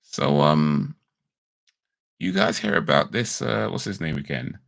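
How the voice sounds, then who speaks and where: Very deep gravelly man. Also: big pitch swings, measured pace, fairly bright tone, no room sound, studio quality. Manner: rambling and self-correcting, laid-back slang. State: slightly crackly voice. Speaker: livestream host. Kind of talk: casual chat.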